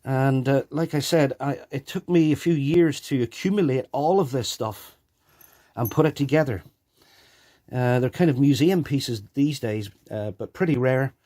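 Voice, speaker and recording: A man's voice: mid-range voice, normal speed, warm tone. A man giving a casual, unscripted hands-on demonstration into a phone, explaining and repeating key points. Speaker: video presenter showing a radio collection. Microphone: handheld phone.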